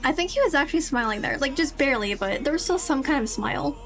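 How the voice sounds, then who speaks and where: A woman's voice: sits high, lively intonation, fast talker, vocal fry, fairly bright tone, soft background music. A woman game streamer chatting casually and enthusiastically to viewers. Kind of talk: casual livestream chat.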